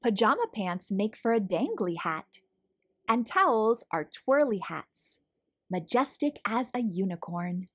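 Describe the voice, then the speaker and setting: Lady reading a kids picture book, very up and down expressive, slightly husky breathy voice. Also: mid-range voice, unhurried. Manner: animated, clearly paced storytelling for young children. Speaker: children's read-aloud host.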